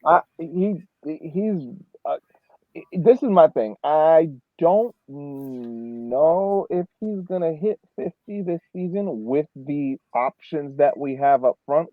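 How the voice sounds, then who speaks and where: A man's voice: on the high side, no room sound. Man on a thin phone-like line. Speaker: podcast co-host. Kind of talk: casual sports analysis chat. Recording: dry, thin phone-like sound.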